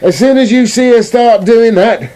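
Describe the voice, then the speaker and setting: A man's voice: extremely high pitch, moderate pace, mellow, low-quality recording. A British man playing a sardonic, bossy wolf in a comic radio play, grumbling and sarcastic. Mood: bossy, instructing. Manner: sarcastic, exasperated, sweary.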